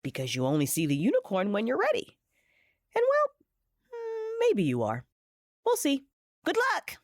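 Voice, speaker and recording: A woman's voice: a little high, huge pitch swings, normal speed, dry recording, clean studio sound. A woman voicing a tiny, cheeky imaginary teddy bear in an audio drama, coy and sing-song. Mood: coy, teasing. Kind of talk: audio drama character acting.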